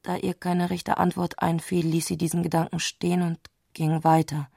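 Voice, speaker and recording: Eine Frau spricht in einem literarischen Hörspiel die introspektive Erzählerin, die mit bedachten Pausen die Gedanken einer aufgewühlten Frau schildert. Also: female voice, lowish voice, little pitch movement, gravelly, warm tone, breathy edge, no echo. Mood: introspective, hushed. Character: intimate, introspective female narrator of a troubled woman's thoughts. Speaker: narrator in a literary radio play. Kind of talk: literary radio drama narration.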